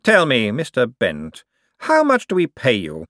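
A man voicing a smug, wealthy bank director in an audiobook, suave and condescending, with drawn-out, menacing hints. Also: medium pitch, wildly swooping pitch, a bit bright, no echo, clean studio sound, voice pushed up. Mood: veiled threat. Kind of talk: audiobook character dialogue.

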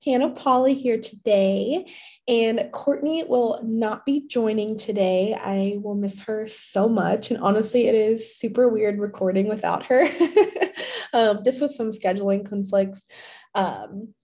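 A young woman hosts her fitness podcast solo, her voice a little hoarse after a recent illness. She talks in a loose, chatty way and fills her sentences with "like" and "you know." Her tone is mellow and warm, and her pitch sits at a middle height. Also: measured pace. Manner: chatty, many fillers, laughs often. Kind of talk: casual solo podcast talk.

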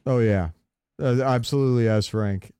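A man co-hosting a podcast in casual chat, talking like an animated, argumentative fan. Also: mid-range voice, moderate pace, clean tone, no echo, studio quality. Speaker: podcast co-host. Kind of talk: casual chat about game cinematics.

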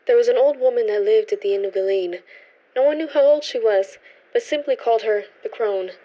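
A woman's voice: on the high side, a little fast, dull audio, close to an everyday voice. A woman playing a reverent, mystical member of a witches' coven, telling stories in a radio drama. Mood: reverent storytelling.

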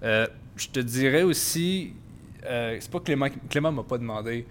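A young man, chatting as a podcast guest in a relaxed, self-mocking way. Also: mid-range voice, normal speed, a little hiss or hum. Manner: relaxed, self-mocking, uses English slang. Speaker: guest, robotics master's graduate. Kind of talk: casual interview chat.

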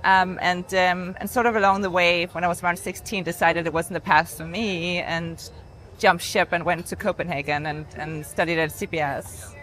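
A woman's voice: on the low side, fairly even intonation, normal speed, a bit bright, a little hiss or hum, rough recording. A woman from Denmark with a Danish accent, telling her story reflectively as an interview guest. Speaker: podcast guest, entrepreneur.

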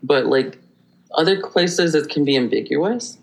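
A man from Hawaii, a podcast guest telling stories in an animated, rambling way with many restarts. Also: on the high side, unhurried, gravelly, poor audio. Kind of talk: conversational storytelling.

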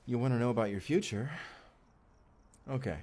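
A man's voice: medium pitch, moderate pace, darkish tone, lightly acted. A young man acting a goofy, affectionate husband from the future in an intimate audio drama, speaking casually and hesitantly straight to the listener. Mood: easygoing, reminiscing. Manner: casual, hesitant, sighing, talks directly to listener. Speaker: actor in audio roleplay. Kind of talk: first-person immersive audio roleplay, intimate conversational acting.

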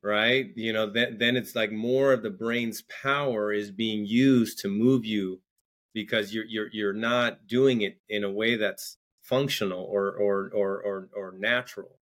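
A man's voice: fairly low pitch, fairly even intonation, a little slow, a bit bright. Man talking earnestly, often restarting words. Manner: earnest, repeats words when starting sentences. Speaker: podcast guest, entrepreneur. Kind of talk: casual interview chat.

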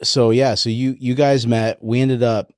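A man's voice: mid-range voice, little pitch movement, unhurried, darkish tone, dry recording, clean studio sound. A man hosting a podcast, chatting with a friend and prompting him with easy questions. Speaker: podcast host. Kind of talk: casual interview chat.

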